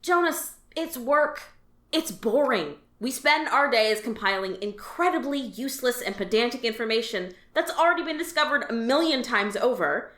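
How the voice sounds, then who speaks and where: A woman's voice: fairly high pitch, lively intonation, normal speed, a bit bright. A woman voicing a jaded female alien office worker who talks about dull work in a dry, resigned, matter-of-fact way. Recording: roomy echo.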